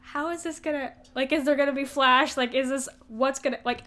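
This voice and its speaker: A woman's voice: high voice, fairly even intonation, brisk, bright, forceful tone. A woman co-hosting a podcast in casual, slangy book chat, giggly and playfully mimicking dialogue as she retells it. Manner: informal, slangy. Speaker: podcast co-host.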